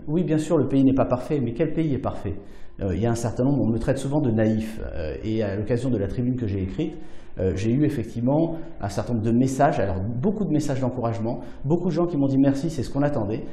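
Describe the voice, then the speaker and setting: A man's voice: medium pitch, dark tone, reverberant. A man in his forties from France, a business leader giving an earnest, persuasive video address with many hesitations. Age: 40s. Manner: earnest, persuasive, many fillers, gestures with hands. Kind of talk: direct-to-camera video address. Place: small indoor studio against plain backdrop.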